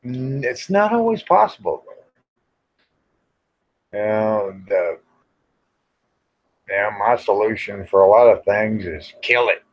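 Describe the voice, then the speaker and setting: Casting: American man, plain-spoken livestream host, very slow rambling delivery, muffled lo-fi sound. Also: lowish voice, lively intonation. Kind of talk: casual rambling chat with live viewers. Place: outdoors in the evening. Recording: dull lo-fi livestream audio.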